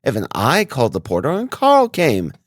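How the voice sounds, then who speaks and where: A man's voice: mid-range voice, wildly swooping pitch, moderate pace, no room sound, clean studio sound, voice pushed up. A man voicing a fawning, indignant landlady with a German accent who patters on. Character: fawning middle-aged German landlady, chattering.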